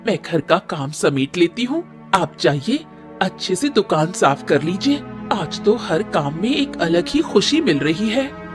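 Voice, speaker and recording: A caring village wife in a cartoon story, voiced by a woman, gentle and encouraging. Her pitch moves in lively swings, with faint music behind her. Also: measured pace.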